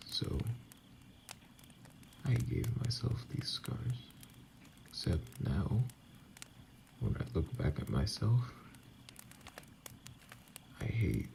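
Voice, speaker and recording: A man playing a bitter, wounded vampire outcast in an ASMR roleplay, speaking in a breathy, halting, brooding way that often drops to a whisper. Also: extremely low pitch, mellow, slight background noise, full character voice. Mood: bitter self-loathing. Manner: slow, halting, brooding delivery. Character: wounded, bitter male vampire outcast, self-loathing and lonely.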